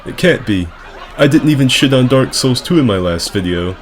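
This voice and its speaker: A man's voice: mid-range voice, animated, moderate pace, some chatter behind, slight background noise. A man hosting a gaming video with casual, profane commentary, delivered in a sarcastic, hyperbolic way.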